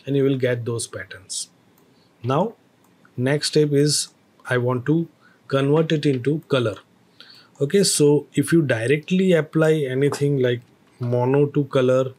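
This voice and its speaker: A man teaching a screen-recorded software tutorial, explaining each step with hesitant phrasing and fillers. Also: medium pitch, slight background noise.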